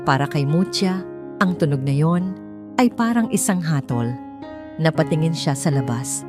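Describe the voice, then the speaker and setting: A woman's voice: low-pitched, expressive, normal speed. A woman reading a scripted story as a dramatic storyteller. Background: soft music.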